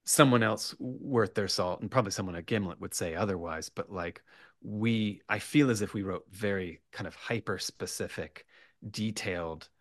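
A man speaking as a writer guest in a casual interview, rambling and animated, often hesitating and correcting himself. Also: lowish voice, moderate pace, no echo, clean studio sound. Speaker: podcast guest, horror writer.

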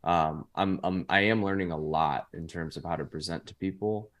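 A man's voice: low-pitched, normal speed, a bit bright. A young man in his twenties, a podcast guest telling stories in a loose, rambling way full of "like" fillers. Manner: rambling, heavy use of "like" fillers. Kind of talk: casual chat.

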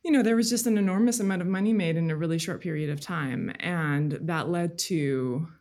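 A woman journalist speaking as an interview guest, explaining things in a measured, detailed, conversational way. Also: lowish voice, darkish tone. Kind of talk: conversational interview, recounting reporting.

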